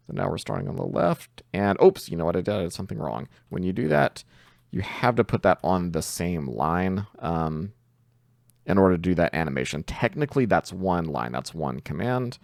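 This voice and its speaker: A man's voice: sits low, expressive, moderate pace, gravelly, dry recording, clean studio sound. A man narrating a screen-share tutorial in a casual, informal way, explaining things step by step as he goes. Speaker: tutorial host.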